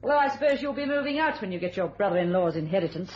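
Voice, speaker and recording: An actress playing a bitter, steely widow in a radio thriller, with a British accent and a controlled, deliberate delivery. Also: female voice, mid-range voice, lively intonation, background noise, close to an everyday voice. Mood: bitter, vengeful. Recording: dull lo-fi radio sound.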